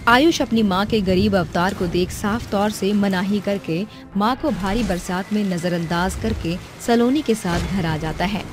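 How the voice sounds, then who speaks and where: A woman's voice: medium pitch, a little slow, few pauses. Woman storyteller narrating with music behind. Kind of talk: dramatic scripted storytelling. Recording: music under the voice.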